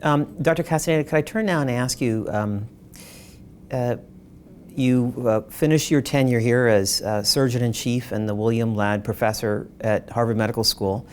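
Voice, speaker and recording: A man interviewing a retired surgeon, asking long, polite questions with frequent pauses. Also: mid-range voice, darkish tone, slight background noise.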